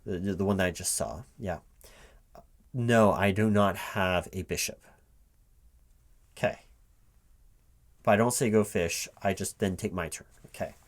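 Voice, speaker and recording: A man in a spoken, unwhispered ASMR roleplay, talking casually and haltingly to an unheard partner as if puzzling things out aloud. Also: low-pitched. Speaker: recruiting manager interviewing a gamer in a one-sided roleplay. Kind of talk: spoken ASMR roleplay, casual one-sided conversation, not whispered.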